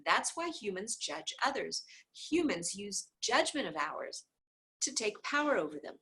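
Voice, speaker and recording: Husky-voiced woman reading aloud. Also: medium pitch, a little slow. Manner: reads speaker labels and repeated clearing phrases in an even cadence; occasional soft offhand asides. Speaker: reader reading a book aloud. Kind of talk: reading a dialogue book aloud.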